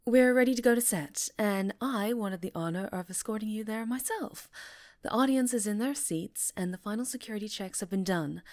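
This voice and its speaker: Casting: actress as female TV interviewer, medium pitch, polished and encouraging, articulate showy delivery for an audio roleplay. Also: darkish tone, natural voice. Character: polished, encouraging female TV talk show host. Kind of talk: scripted ASMR roleplay acting, live talk show.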